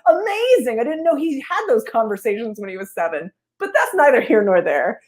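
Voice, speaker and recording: A woman's voice: a little high, very animated, a little fast, a little mellow. Wry woman in casual discussion. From Seoul, South Korea. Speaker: guest screenwriter.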